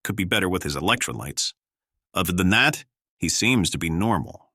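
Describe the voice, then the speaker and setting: An actor playing a weary, reluctant scientist in charge, speaking in a measured, formal, clipped way in an audio drama. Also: male voice, low-pitched, lively intonation, sharp tone, breathy edge, no room sound, clean studio sound, full character voice. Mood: businesslike.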